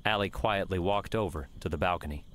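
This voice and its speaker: Deep-voiced male audiobook narrator. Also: fairly quick, a bit bright, a little hiss or hum. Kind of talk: scripted third-person story narration.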